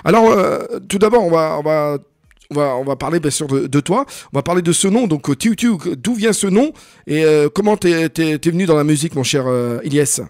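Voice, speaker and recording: A man hosting a live radio interview, opening with upbeat greetings and then speaking haltingly with many fillers. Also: on the high side, animated, a little mellow, no room sound, clean studio sound. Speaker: radio host. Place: radio studio.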